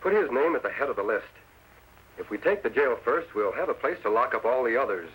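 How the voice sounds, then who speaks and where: An actor playing a masked frontier hero, commanding and decisive, giving crisp orders in a vintage radio western. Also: male voice, medium pitch, very animated, brisk, a bit bright, poor audio. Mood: planning. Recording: hissy old radio recording.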